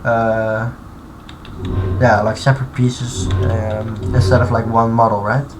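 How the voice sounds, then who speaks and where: A man streaming live from his computer, talking through his modding in a halting, thinking-aloud way full of restarts. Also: medium pitch, little pitch movement, over music. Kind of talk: casual live-stream commentary while modding. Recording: clear background noise and typing.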